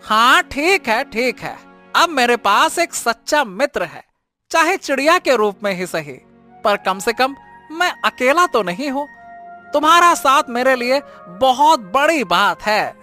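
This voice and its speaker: A dramatic young exiled prince from a cartoon tale, acted by a woman, high and bright in tone. He is naive and excitable, stretching out and repeating his exclamations over background music. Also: measured pace, exaggerated voice. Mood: relieved. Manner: theatrical, hesitant, exclaiming with repeated words. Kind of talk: cartoon story voice acting.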